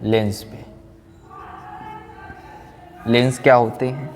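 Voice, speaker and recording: A man's voice: mid-range voice, steady tone, slow pace. Male teacher in echoing room. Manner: didactic, asks questions and answers them himself. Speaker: science teacher. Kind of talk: classroom physics lecture. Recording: very reverberant room, background noise.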